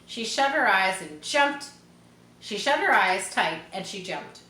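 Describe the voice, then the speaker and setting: A woman's voice: mid-range voice, sing-song, unhurried, pushed and bright, roomy sound, poor audio. A woman reads a children's story aloud expressively, adding casual asides to listeners as she narrates. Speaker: storybook reader.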